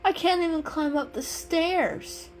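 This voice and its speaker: A woman's voice: extremely high pitch, a little slow, voice pushed up. A woman voicing a small, innocent and curious young male kitten in a storybook reading, earnest in manner. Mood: glum. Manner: earnest, questioning, easily discouraged. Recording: music under the voice.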